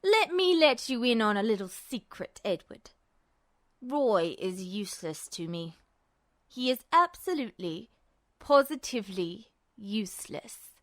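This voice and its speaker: A smug, sadistic man, as a woman narrator puts him on in an audiobook. The voice drops low and soft for drawn-out, taunting threats. It is close and dry, cold and menacing. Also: sing-song, no room sound. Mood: smug, mocking.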